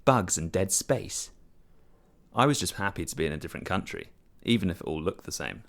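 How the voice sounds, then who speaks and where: A man narrates a short story in the first person, reading from a script. His voice is very low, with lively movement in pitch. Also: very fast, vocal fry.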